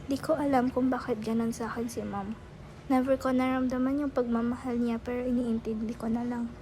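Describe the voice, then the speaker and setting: A woman's voice: fairly high pitch, little pitch movement, fast talker, dark tone, natural voice. A young woman with a Filipino accent playing a sad daughter who longs for approval, in a student play monologue. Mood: sorrowful. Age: teens. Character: sad, striving daughter longing for her mother's approval. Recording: faint background noise.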